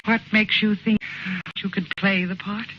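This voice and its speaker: A woman's voice: mid-range voice, steady tone, unhurried, lightly acted. An actress playing a guarded woman on the run in vintage radio drama style, polite and hesitant as she hides who she is. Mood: guarded, uneasy. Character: guarded actress, a female fugitive hiding her identity. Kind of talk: 1940s radio acting. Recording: muffled, noisy old radio recording.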